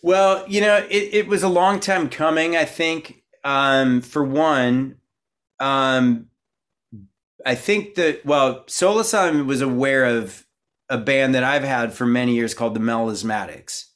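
A man's voice: mid-range voice, unhurried, fairly bright tone. A middle-aged man from Minnesota, a musician guest in an interview, talking casually and rambling with many fillers and restarts. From Minnesota, USA.

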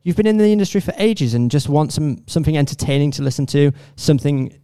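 A man's voice: a little high, animated, quick pace, mellow, clear, resonant tone, no room sound, clean studio sound. A man in his late twenties hosting a podcast, with an upbeat, enthusiastic presenter's manner. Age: 20s. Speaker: podcast host. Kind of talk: casual chat.

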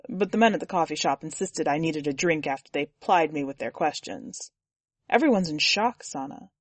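A calm, soft-spoken middle-aged boatman, as a woman reader lowers her voice to play him. He speaks in a quiet, unhurried storybook way, sounding close and dry with no room around him. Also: dry recording. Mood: sheepish.

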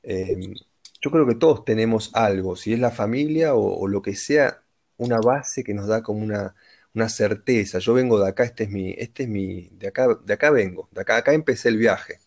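A man with a Rioplatense Argentine accent thinks aloud in an unhurried, reflective way. His voice sits low with a gravelly, crackling edge, and he drifts between ideas as he chats. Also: darkish tone. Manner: reflective, musing, philosophical. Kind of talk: casual conversation.

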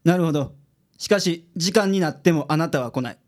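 A man with a Kansai accent playing a gruff, bossy private detective in a radio drama, blunt and commanding. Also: fairly high pitch, brisk, lightly acted. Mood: brisk, commanding.

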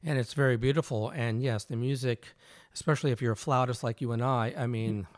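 A man's voice: mid-range voice, fairly even intonation, brisk, no echo, clean studio sound. A man hosting an interview, asking questions in an upbeat, encouraging way. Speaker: podcast host.

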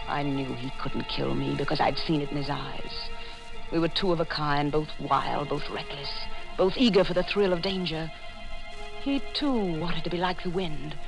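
An actress playing a reckless, passionate young woman killer who narrates her crime in an intense 1940s radio thriller style with dramatic pauses. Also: low voice, vocal fry, poor audio. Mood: tense, defiant. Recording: vintage 1940s radio recording with music.

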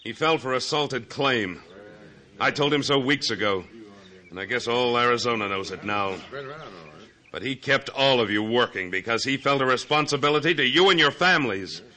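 Bright-voiced, commanding Western hero. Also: male voice, medium pitch, lively intonation, normal speed. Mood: rousing. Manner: firm, challenging, speechmaking. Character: steadfast, commanding Western mine foreman and loyal friend. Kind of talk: old-time radio western acting.

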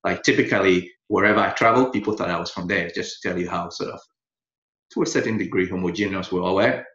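A man from Ghana, a lawyer and guest on a podcast, telling his story in a relaxed way and laughing now and then. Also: low voice, fast talker. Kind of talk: interview storytelling. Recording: echoey, dull lo-fi sound.

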